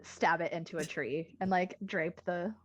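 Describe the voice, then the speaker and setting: A woman's voice: lowish voice, steady tone, measured pace, fairly bright tone. A young woman playing a tabletop game, upbeat and jokey. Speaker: player. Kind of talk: tabletop roleplay, playful banter.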